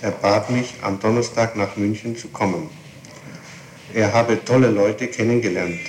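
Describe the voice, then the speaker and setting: A man reading a statement back in a formal, matter-of-fact way during an interrogation. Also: lowish voice. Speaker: police record taker. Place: echoey interrogation room. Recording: echoey archival tape with faint hiss.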